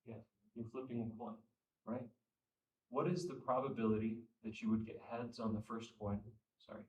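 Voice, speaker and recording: A young man teaching statistics at a classroom whiteboard, losing his voice and clearing his throat, repeating phrases and checking understanding. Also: mid-range voice, steady tone, normal speed, highs cut off. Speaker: statistics instructor. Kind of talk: classroom lecture. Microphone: far camera. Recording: echoey room, from far off.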